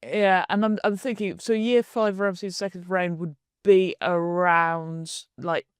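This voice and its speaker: A woman's voice: lowish voice, fairly even intonation, measured pace, clear, resonant tone, no echo, clean studio sound. A woman co-hosting a podcast in casual chat, chatty and jokey, laughing easily. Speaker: podcast co-host.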